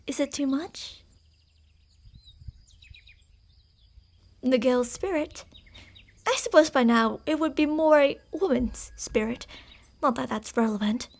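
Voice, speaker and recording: A woman voicing an obsessive female fox spirit in a borrowed human body, speaking in a calm, formal, quietly manipulative way that dips into whispers. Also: high voice, unhurried. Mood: composed. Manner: soft-spoken, dipping into whispers. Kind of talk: ASMR roleplay.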